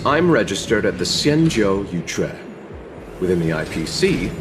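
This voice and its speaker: An actor playing a courteous, composed wandering merchant in a video game, speaking formally and politely. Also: male voice, low voice, noisy background.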